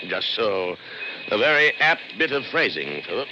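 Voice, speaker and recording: Proud American inventor: low, animated, theatrical, smug, on a noisy old radio recording. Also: male voice, a little slow, muffled recording. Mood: smug, genial. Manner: muttering, theatrical, self-satisfied. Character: proud inventor scientist, smug, scornful of human assistants. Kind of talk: 1960s radio drama acting.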